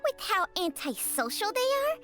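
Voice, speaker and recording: A woman's voice: very high-pitched, very animated, moderate pace, bright, forceful tone, faint music behind, exaggerated voice. A woman voicing a haughty, blunt, ancient talking snake in a video game, with a dry, scornful drawl. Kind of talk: video game character acting.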